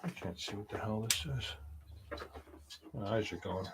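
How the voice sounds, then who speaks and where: A man's voice: on the low side, steady tone, a little slow, very noisy. A middle-aged man hosting a casual livestream chat in a playful, rambling, joking way. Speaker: livestream host.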